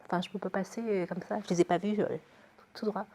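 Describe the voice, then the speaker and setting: A woman's voice: mid-range voice, steady tone, fairly quick, darkish tone. A woman medical secretary talking casually and plainly in an interview, in colloquial everyday speech. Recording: faint background noise, room ambience.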